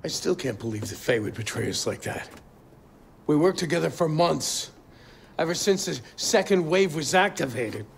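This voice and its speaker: Casting: wounded, sincere middle-aged coordinator, lightish male pitch with gravelly fry, game cutscene. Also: lively intonation, a little hiss or hum. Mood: betrayed, resolved. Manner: earnest, halting, heartfelt.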